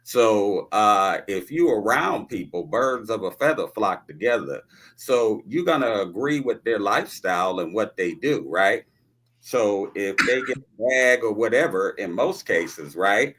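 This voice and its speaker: Man, lowish pitch, podcast guest, preachy, repetitive, casual debate style. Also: lively intonation, unhurried, a bit bright. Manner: preachy, repetitive, refers to himself in third person.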